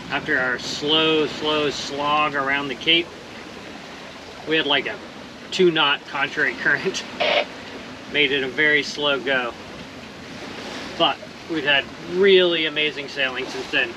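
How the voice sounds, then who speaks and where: Easygoing man sailing in wind and spray. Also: fairly high pitch. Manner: relaxed, upbeat, loose with fillers and soft laughs. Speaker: solo sailor vlogger. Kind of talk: casual vlog narration. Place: outdoors on the deck of a small sailboat at sea, wind blowing. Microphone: action camera close on the boat. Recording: windy outdoor camera audio on open water. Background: wind and water rushing past the hull.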